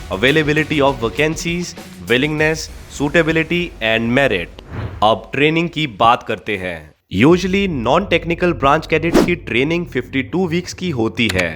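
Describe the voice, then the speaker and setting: A man with an Indian accent narrates a scripted educational explainer. His voice sits a little high for a male speaker, and he presents in an orderly, instructional way. Music plays behind his voice. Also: a bit bright. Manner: instructional, mixes Hindi with English terms. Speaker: host of an exam-preparation channel.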